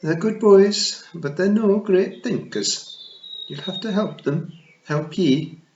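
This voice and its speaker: A man's voice: high voice, slow pace, voice pushed up. A man doing a tiny old Scottish pixie matriarch. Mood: fond. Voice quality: broad Scots burr. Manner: warm, teasing and commanding. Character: tiny old dying female pixie chieftain, shrewd and wry. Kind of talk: storybook reading. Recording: roomy, slightly dull recording.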